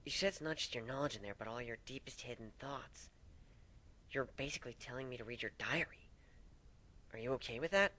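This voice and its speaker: A man voicing a tough but tender female griffin in a story, soft-spoken, teasing and affectionate. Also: mid-range voice, a little fast. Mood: hesitant, soft.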